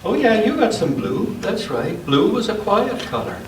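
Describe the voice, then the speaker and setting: A man reading a children's story aloud with dramatic pauses, breaking off to chat with listeners. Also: sits high, huge pitch swings. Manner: dramatic, deliberate pauses and emphasis; interactive, chatting with listeners. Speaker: storyteller reading to an all-ages church group. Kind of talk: read-aloud children's story with casual asides. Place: church, indoors. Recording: echoey room, distant mic, faint noise.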